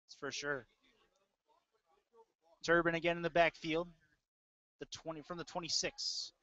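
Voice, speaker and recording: A male announcer gives live play-by-play of a football game. His voice sits a little high for a man. The sound is close and dry, with a dull, lo-fi web stream quality. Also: level tone, unhurried, sharp tone, no room sound.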